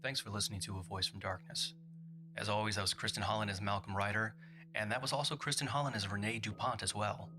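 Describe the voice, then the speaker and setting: A man's voice: on the low side, steady tone, fast. A man speaking as a podcast's producer, giving casual announcements and thanks to listeners.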